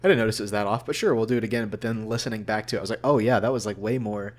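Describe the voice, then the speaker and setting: Male voice actor, lowish pitch, earnest and conversational, an animated storyteller in an informal interview. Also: very fast. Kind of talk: casual anecdotal interview chat.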